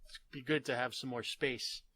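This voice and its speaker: A man's voice: mid-range voice, steady tone, moderate pace, sharp tone, no room sound. A man hosting a gaming channel, opening with an upbeat greeting and interviewing a guest with eager reactions.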